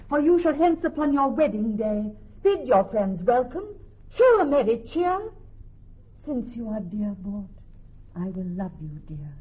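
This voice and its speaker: An actress playing a devoted, decisive young noblewoman in a classical radio drama, speaking formal verse. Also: fairly high pitch. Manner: formal, eloquent verse delivery. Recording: tinny, band-limited vintage radio, music behind.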